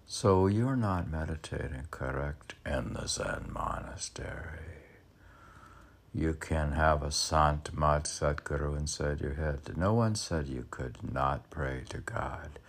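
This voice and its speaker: A man reads poetry aloud casually, rambling into his own commentary and musing haltingly, as if arguing with himself. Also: a bass voice, gravelly. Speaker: poet reading own poems aloud.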